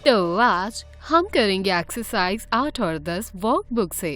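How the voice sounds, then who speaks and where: A woman voicing a strict, irritable female math teacher who talks in a stern, sharp way. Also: mid-range voice, expressive, slow, soft background music, voice pushed down.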